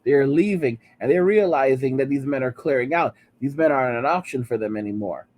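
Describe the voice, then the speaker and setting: A man's voice: mid-range voice, fairly quick, a little mellow, a little hiss or hum. A man in his late thirties hosting a commentary channel, ranting heatedly and repeating "all right?" between points. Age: 30s.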